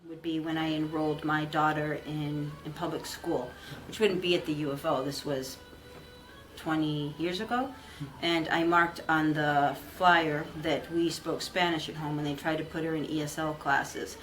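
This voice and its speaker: A woman's voice: low voice, steady tone, normal speed, a bit bright, slight background noise, rough recording. A middle-aged woman giving a documentary interview, articulate and thoughtful, explaining her points.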